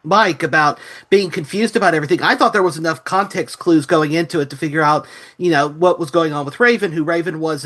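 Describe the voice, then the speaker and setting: A man's voice: on the high side, a bit bright. A man talking through his opinions in an analytical podcast review discussion. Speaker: podcast panelist.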